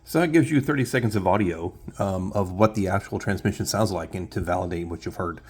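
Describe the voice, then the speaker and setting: Man, very low-pitched voice, hobbyist presenter, casual unscripted walkthrough, halting, thinking aloud. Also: darkish tone, slightly rough. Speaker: shortwave radio hobbyist presenter.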